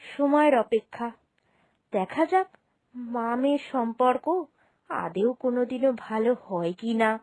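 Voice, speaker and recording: A woman's voice: fairly high pitch, measured pace, pauses often, a little mellow. A woman storyteller reading scripted narration for a cartoon moral tale.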